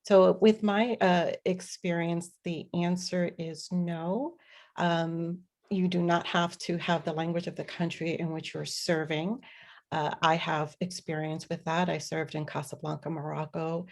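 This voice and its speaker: A woman diplomat answering audience questions on a webinar panel, measured and explanatory, with frequent fillers. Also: fairly low pitch, flat delivery, darkish tone.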